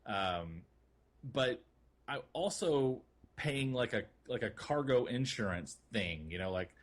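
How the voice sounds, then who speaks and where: A man co-hosting a gaming podcast in casual conversation, explaining things in a rambling, halting way. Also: medium pitch, expressive. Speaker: podcast co-host. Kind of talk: casual chat about a video game.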